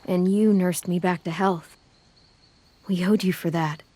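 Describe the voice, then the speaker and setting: A woman playing a tough, guarded teenage girl survivor in a video game, with measured, understated delivery. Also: fairly low pitch, fairly even intonation, warm tone, dry recording. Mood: gentle, reflective. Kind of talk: naturalistic video game voice acting.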